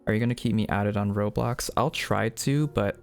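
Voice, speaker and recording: A man's voice: lowish voice, moderate pace, creaky, faint music behind. Guy on a livestream just chatting, reads out chat msgs and answers them, laid back convo style. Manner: reads chat messages aloud and replies. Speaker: live streamer. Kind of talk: casual chat with viewers.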